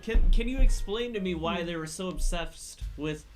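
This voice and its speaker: A man's voice: sits high, moderate pace, over music. A man co-hosting a comedy podcast, chatting casually in a curious, questioning way. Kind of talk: casual chat.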